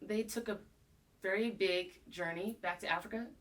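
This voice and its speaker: A woman's voice: medium pitch, moderate pace, a bit bright. A woman speaking earnestly and emphatically as a guest in a casual interview, picked up from a distance. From United States. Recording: distant, off-mic voice.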